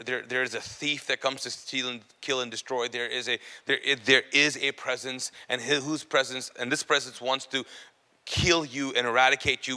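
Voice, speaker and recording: Animated man storytelling in a reverberant hall. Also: mid-range voice. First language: Urdu. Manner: informal, comic, emphatic repetition. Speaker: speaker giving testimony to an audience. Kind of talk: animated storytelling sermon, acting out dialogue. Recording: long hall reverb, live stage.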